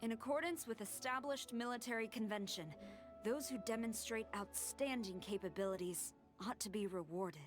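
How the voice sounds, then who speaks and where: A woman's voice: on the high side, normal speed, faint music behind, lightly acted. A woman playing a disciplined, formal female military general in a video game, with precise delivery. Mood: composed approval.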